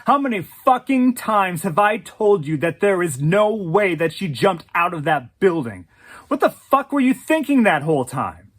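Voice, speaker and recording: A storyteller voicing an outraged, accusing woman who confronts her boyfriend loudly and emphatically. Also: medium pitch, big pitch swings, moderate pace, hardly any pauses, breathy edge. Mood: furious. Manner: loud, emphatic, sweary.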